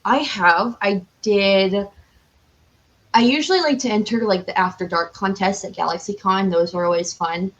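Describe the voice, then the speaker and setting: A woman chatting casually as an interview guest, talking in an animated, chatty way with "like" sprinkled through. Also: mid-range voice, normal speed, poor audio. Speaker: podcast guest, cosplayer.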